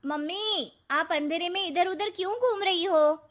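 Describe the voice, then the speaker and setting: A woman's voice: extremely high pitch, normal speed, noisy background. A woman voicing a timid young daughter-in-law in a cartoon, nervous and pleading. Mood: puzzled.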